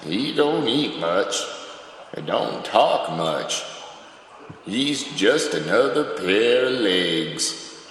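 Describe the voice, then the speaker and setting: Man playing a slow, terse wasteland henchman. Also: fairly low pitch, sing-song, fairly bright tone, a little hiss or hum, exaggerated voice. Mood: dismissive. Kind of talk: theatrical audio drama dialogue. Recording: very echoey, distant hall sound.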